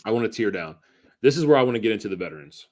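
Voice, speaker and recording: A man's voice: on the low side, expressive, rapid-fire, darkish tone. A man co-hosting a casual sports podcast, making his points emphatically and repeating them for stress. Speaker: podcast co-host.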